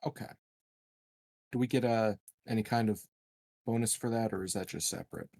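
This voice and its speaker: A man with a fairly low voice talks casually as a player in a tabletop game. He is tentative, working out his thoughts aloud, and the sound is dry with no room. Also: moderate pace, a little mellow, no echo.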